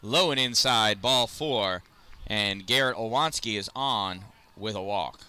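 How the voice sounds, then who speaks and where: A man calling a youth baseball game play by play, chatty and self-deprecating, with rambling asides and a loud voice. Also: fairly low pitch, unhurried, clean tone. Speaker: play-by-play announcer. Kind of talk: casual youth baseball commentary.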